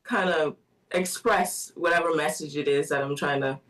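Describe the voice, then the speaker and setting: A soft-spoken woman answers a radio interviewer, her speech full of little fillers and light laughs. Her voice sits a little away from the microphone. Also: sits low, fairly even intonation, moderate pace. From Bahamas. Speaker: guest artist. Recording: voice somewhat distant from the mic.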